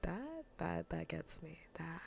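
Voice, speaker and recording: A woman streamer chatting playfully and casually in a soft, breathy, often near-whispered ASMR style, close to the microphone. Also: lowish voice, sing-song, slow, slight background noise. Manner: playful, rambling, half-whispered casual talk. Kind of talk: soft-spoken ASMR gaming livestream chat.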